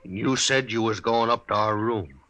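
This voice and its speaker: Gravelly, anguished frontier husband. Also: male voice, lowish voice, moderate pace, sharp tone. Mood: anguished, pleading. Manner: urgent, pleading, rustic speech. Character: anguished, protective frontier husband, rough and desperate. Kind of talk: 1950s radio western acting.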